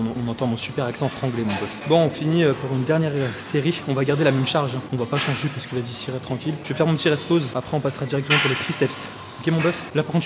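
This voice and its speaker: A man's voice: medium pitch, noisy background. A young man vlogging his gym workout, chatting casually and slangily straight to the camera, sometimes winded between sets. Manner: slangy, chatty, fast, with frequent filler tags. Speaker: fitness vlogger.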